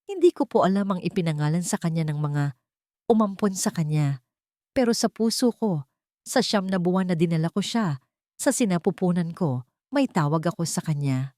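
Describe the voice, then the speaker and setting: A woman's voice: fairly low pitch, expressive, dark tone, no room sound, clean studio sound, natural voice. Woman playing a sorrowful, humble young single mother. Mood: tearful, tender. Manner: halting, pained confession.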